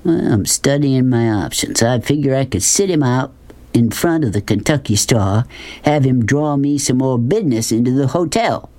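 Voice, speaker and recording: A gruff, bullying country man from a storybook, voiced by a woman reader, speaking with a drawl. He is folksy and pushy, with a mocking edge to what he says. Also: a bass voice, expressive, normal speed, mellow. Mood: scheming, boastful. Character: gruff, bullying, sweaty-faced rural motel man.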